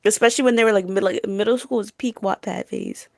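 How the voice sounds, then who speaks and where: A woman's voice: mid-range voice, fast talker, gravelly, no room sound, studio quality. A woman of about twenty co-hosting a podcast, chatting casually in a giggly, slangy way with lots of filler words. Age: around 20. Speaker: podcast co-host. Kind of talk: casual chat.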